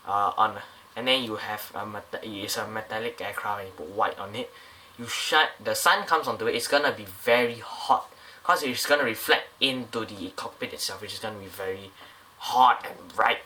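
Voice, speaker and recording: A man's voice: lowish voice, normal speed, sharp tone, slight background noise. A man casually reviewing a scale model airliner up close, talking in a loose, rambling way with many fillers and restarts.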